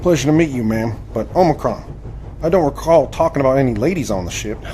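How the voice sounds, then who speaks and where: A man's voice: medium pitch, lively intonation, fast talker, mellow, slight background noise, natural voice. An actor playing a courteous, bewildered nineteenth-century man with hesitant, old-fashioned formality in an audio drama. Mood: polite, uneasy. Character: polite, bewildered nineteenth-century American man, naive newcomer.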